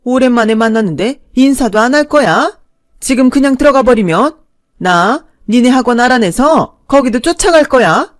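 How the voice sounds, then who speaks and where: A woman voicing a shameless, nagging elderly stepmother-in-law who scolds loudly. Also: fairly high pitch, lively intonation, normal speed, close to an everyday voice. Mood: scolding. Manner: nagging, wailing, self-pitying.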